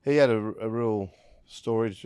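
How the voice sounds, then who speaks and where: A man's voice: lowish voice, measured pace, a little mellow, clear, resonant tone, no room sound. A man with an Australian accent giving blunt, colloquial opinions in a casual sports discussion. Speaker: rugby league podcast panelist.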